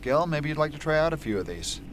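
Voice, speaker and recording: A man's voice: a little high, big pitch swings, quick pace. A man acting in an amateur radio drama as a kindly, enthusiastic weapons inventor. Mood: encouraging. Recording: faint background noise.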